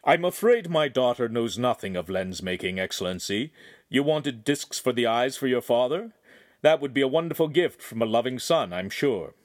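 A middle-aged master craftsman from a historical audiobook, read by a male narrator. He is courteous and placating yet firmly protective, in a medium-pitched voice with a dry sound. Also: expressive, moderate pace, dry recording, close to an everyday voice. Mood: deferential.

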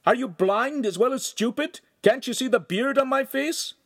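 A man doing a shrill furious neighbor. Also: moderate pace, creaky, slightly rough, dry recording, clean studio sound, full character voice. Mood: indignant. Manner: outraged, yelling. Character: angry shouting male neighbor.

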